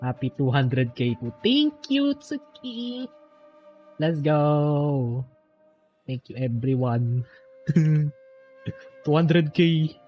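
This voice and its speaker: A man's voice: fairly high pitch, expressive, drawn-out words, pauses often, highs cut off. A Filipino-accented man doing casual, slangy live game commentary, mixing English and Filipino. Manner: slangy, swearing. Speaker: game streamer. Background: game music.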